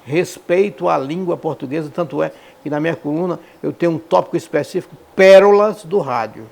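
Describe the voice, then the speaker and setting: A man with a Northeastern Brazilian accent recalls the past in an interview. His voice sits a little high for a man and is clearly creaky. He restates phrases to drive them home. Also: fairly quick, darkish tone. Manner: emphatic, repeats phrases for emphasis. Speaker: radio professional and columnist, interviewee. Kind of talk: reminiscing interview testimony.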